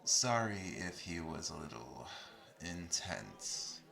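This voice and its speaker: A man's voice: deep voice, very animated, takes it slowly, vocal fry, sharp tone, heavily acted. A man playing a friendly, conciliatory college student in an audio roleplay, with a casual and slightly hesitant delivery. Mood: friendly, apologetic. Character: friendly, apologetic male college student, easygoing peacemaker. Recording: crowd noise behind the voice.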